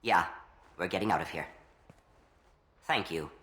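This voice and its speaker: An actor playing an anxious, protective civilian in a video game, speaking in an earnest, plain way. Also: male voice, sits low, unhurried, sharp tone. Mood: relieved.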